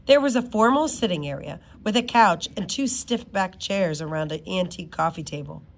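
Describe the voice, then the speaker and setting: A woman reading a children's novel aloud in an expressive, informal way, sometimes stumbling over words. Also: on the low side, normal speed. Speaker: reader of a children's book.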